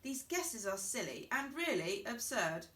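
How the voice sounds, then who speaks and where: A woman's voice: fairly high pitch, big pitch swings, a little slow, sharp tone, roomy sound, distant mic, exaggerated voice. A woman voicing a smug, know-it-all male cat in a children's rhyming story, self-assured and teasing.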